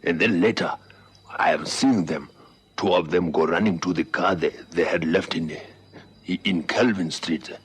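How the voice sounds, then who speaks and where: An old night watchman in a vintage radio play. His very deep, husky voice halts and repeats itself, deferential and shaken. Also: heavily acted. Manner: halting, repetitive, respectful. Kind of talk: 1960s-70s radio drama acting.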